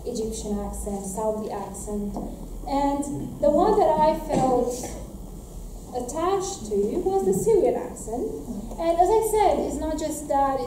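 A woman's voice: high-pitched, dark tone, slight background noise. A young woman from Romania answering audience questions from a lecture podium, earnest and full of restarts and fillers. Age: young adult. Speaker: graduate student presenter. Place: lecture room at a podium, indoors. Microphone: room mic, heard from a distance. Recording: echoey lecture room from far off.

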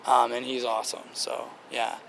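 Gravelly young man, slightly strained voice. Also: mid-range voice, moderate pace, slight background noise, low-quality recording. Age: 20s. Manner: relaxed, chatty, many "like" fillers. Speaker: band singer. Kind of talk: casual interview answers. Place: outdoor table by a river. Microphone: handheld interviewer mic near the table.

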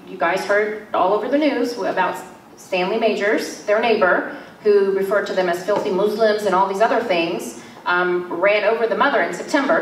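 A woman's voice: mid-range voice, slight background noise. A young woman speaking earnestly into a handheld mic at a panel discussion, pleading with her audience. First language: Arabic or Turkish. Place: large church hall. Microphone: handheld mic at a podium. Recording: echoey hall from far off.

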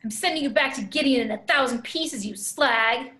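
A woman's voice: high-pitched, moderate pace, bright, forceful tone. A woman playing a fierce, taunting female villain in a table read over a video call. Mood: scornful, menacing.

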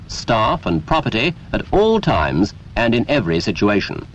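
A British man reading a scripted 1970s training film in a formal, measured, emphatic way, as a narrator. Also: on the low side. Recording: dull, lo-fi 1970s 16mm film soundtrack.

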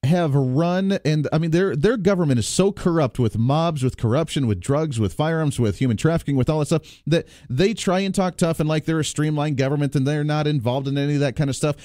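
A man's voice: a little high, a little fast, hardly any pauses, mellow, dry recording. Man, radio talk host, emphatic, rallying, close studio mic, dry sound. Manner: emphatic, persuasive, rallying listeners. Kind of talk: political call-in radio talk. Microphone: close studio microphone.

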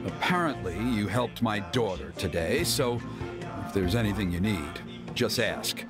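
A stern middle-aged village elder in a fantasy game. He speaks formally and proudly, with animated swings of pitch, over loud music. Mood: guarded, formal. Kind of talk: video game voice acting.

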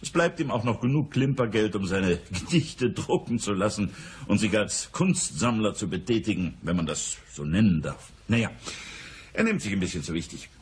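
Raspy, jovial wealthy businessman. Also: lowish voice, animated, normal speed. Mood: easygoing. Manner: salopp, humorous, chuckling asides. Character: jovial, casual wealthy businessman, wryly amused. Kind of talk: radio crime drama acting.

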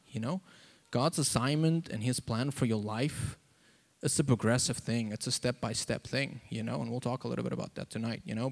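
A man gives an informal sermon and testimony into a handheld mic, halting and conversational, with lots of "like" and "you know.". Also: medium pitch, gravelly. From South Africa. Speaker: preacher. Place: church auditorium, on stage before seated congregation. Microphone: handheld microphone.

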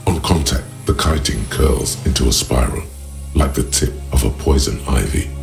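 A man voicing the brooding inner narration of a detective game, gravelly, deliberate and poetic. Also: rumbling low. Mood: hushed, awed. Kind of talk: scripted second-person game narration. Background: music.

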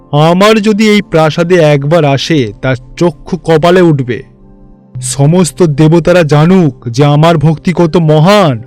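A man's voice: a little high, darkish tone, natural voice. A man voicing a vain, boastful god of wealth in a theatrical cartoon fairy-tale style. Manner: pompous, gloating, theatrical. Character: boastful, vain rich god of wealth, fawning before superiors. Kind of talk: Bengali animated fairy-tale voice acting.

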